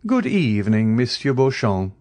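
A man's voice: mid-range voice, sing-song, moderate pace, darkish tone, pitched above the performer's own voice, natural voice. A man voicing a proud, mocking, coldly menacing aristocratic count in an audiobook, in a haughty, formal, declamatory manner. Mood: suave, amused.

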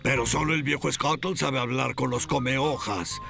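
An actor with a Latin American Spanish accent playing a boastful, grumpy old crab in a cartoon dub, rambling theatrically and dismissively. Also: male voice, mid-range voice, fairly even intonation, normal speed, vocal fry, over music.

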